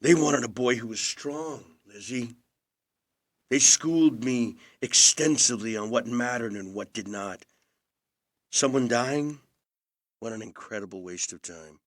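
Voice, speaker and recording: Actor as a quietly intense estranged older brother. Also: male voice, medium pitch, unhurried, lightly acted. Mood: bitter, controlled. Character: charming, self-blaming movie star, estranged older brother. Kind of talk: intimate audio play acting.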